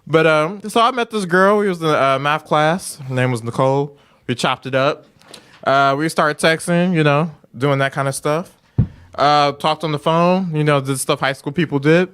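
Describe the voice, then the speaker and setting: A young man with a bright, forceful tone and a slightly high pitch tells stories from his school days. He laughs often and repeats words in rapid bursts. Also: clear, resonant tone. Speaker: podcast guest. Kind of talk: casual storytelling chat.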